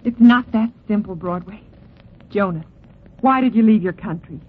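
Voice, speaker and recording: A woman's voice: medium pitch, moderate pace, breathy edge, lightly acted. An actress playing a blunt, lovesick young woman from the gangland world in a slangy 1940s radio drama, on an old recording. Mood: challenging, reproachful. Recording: tinny, band-limited old radio recording.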